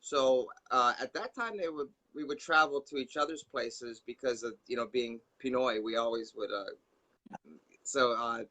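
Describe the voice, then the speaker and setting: A man from Florida, a martial arts instructor, talking easily as a guest in a casual conversation, often pausing with fillers. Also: medium pitch, bright, forceful tone, rough recording. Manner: easygoing, hesitant, many fillers.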